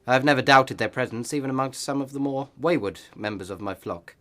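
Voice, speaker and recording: An actor playing a pious parish clergyman in a radio play, offering consolation in measured, sermonising phrases. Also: medium pitch, a bit bright, natural voice. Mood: solemn consolation.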